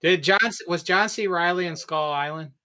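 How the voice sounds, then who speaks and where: A man's voice: high-pitched, moderate pace, bright, forceful tone, smooth. A man bantering casually as a podcast co-host.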